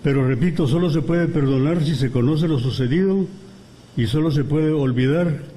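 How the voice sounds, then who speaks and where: Male lecturer, mellow tone, deliberate phrasing with pauses, scholarly commentary at a university auditorium. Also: a little high. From Guatemala. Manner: measured and deliberate, pausing between phrases. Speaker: guest commentator at a book presentation. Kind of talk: academic lecture.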